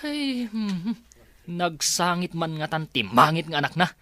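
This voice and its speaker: A man's voice: on the high side, sing-song, a little slow, noisy background. Bossy, entitled man of the house. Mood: irritated. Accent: Ilocano. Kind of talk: Ilocano radio drama acting.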